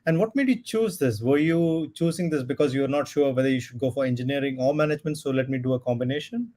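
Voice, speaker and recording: A man hosts an interview show, greeting his audience formally and putting questions to his guest. His voice sits at an ordinary middle pitch. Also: fast talker, dark tone. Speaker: host. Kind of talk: interview hosting.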